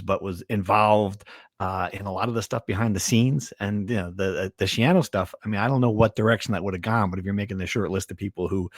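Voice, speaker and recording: A man's voice: on the low side, dry recording, studio quality. A man hosting a casual sports talk podcast, rephrasing mid-thought and leaning on words for emphasis.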